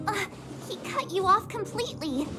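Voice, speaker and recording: A woman playing a chatty, expressive teenage girl adventurer in a video game, with an informal manner. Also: very high-pitched, moderate pace, music in the background. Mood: alarmed. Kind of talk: video game cutscene acting.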